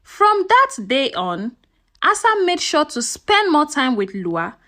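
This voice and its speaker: A woman narrates a folktale in a high, very lively voice. Her delivery is dramatic and earnest, shaped as scripted storytelling for listeners. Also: slow pace.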